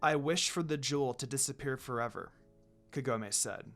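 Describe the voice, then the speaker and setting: A man reading a fan fiction story aloud, dropping into soft, quiet tones for the characters' lines. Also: mid-range voice, moderate pace, creaky, faint music behind. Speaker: fanfiction reader.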